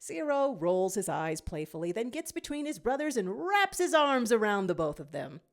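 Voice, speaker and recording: A woman reads scripted fiction aloud as narrator. Her pitch is mid-range and moves widely, giving the storytelling an expressive, animated feel. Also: moderate pace, vocal fry.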